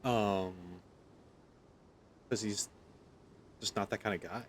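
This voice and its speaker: A man's voice: on the low side, fairly even intonation, takes it slowly, slight background noise. A man hosting a gaming video, giving casual, wry commentary as he plays. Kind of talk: casual game commentary, reading dialogue along.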